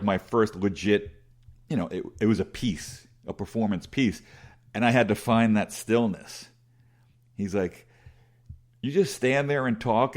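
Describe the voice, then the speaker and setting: A man's voice: on the low side, darkish tone. A middle-aged man with a big voice, telling rambling, self-deprecating stories as an interview guest with many restarts. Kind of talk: casual conversational storytelling.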